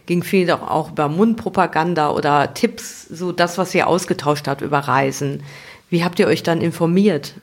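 Podcast co-host, medium pitch, informal interviewer, conversational questioning. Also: normal speed.

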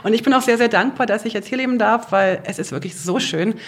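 A middle-aged woman from Berlin with a Berlin accent, chatting in a lively, talkative way about her home. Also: fairly high pitch, fast talker, breathy edge. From Berlin, Germany. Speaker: host to guests. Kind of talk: casual chat.

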